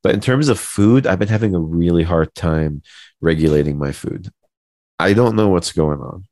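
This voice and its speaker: A man with a deep voice chatting casually and informally as a podcast co-host. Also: moderate pace, a little mellow, dry recording. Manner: informal, uses "bro" and "man". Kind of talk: casual chat.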